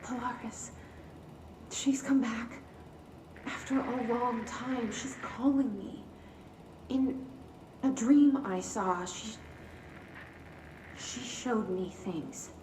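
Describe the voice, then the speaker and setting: An actress playing a troubled, earnest young female patient in a game, halting yet intense and determined. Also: on the high side, a little mellow. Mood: haunted, halting. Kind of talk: video game cutscene acting. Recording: roomy, distant, noisy.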